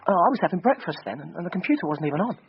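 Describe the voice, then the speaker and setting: A man's voice: high voice, big pitch swings, very fast, vocal fry, heavily acted. A man with a British accent playing an earnest junior police detective in a naturalistic radio drama. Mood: baffled, defensive. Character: junior male police sidekick, computer-savvy, earnest. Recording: dull radio drama sound, faint noise.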